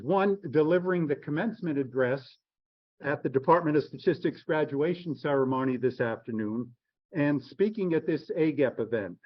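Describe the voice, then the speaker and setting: An earnest man reading a formal introduction of a guest speaker, with a few restarts. His voice sits a little high for a man, with a dull, lo-fi sound. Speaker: event host introducing the speaker.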